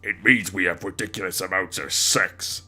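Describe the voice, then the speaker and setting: A man plays a self-assured young genius sister from a comedy story. The voice sits low and subdued. Every statement comes out deadpan, as a plain declaration. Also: moderate pace, breathy edge, heavily acted. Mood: matter-of-fact.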